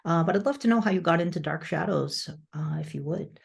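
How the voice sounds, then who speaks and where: A woman's voice: fairly low pitch, fairly quick, gravelly, husky. A woman hosting a podcast in casual interview chat, effusive and rambling as she goes. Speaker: podcast host.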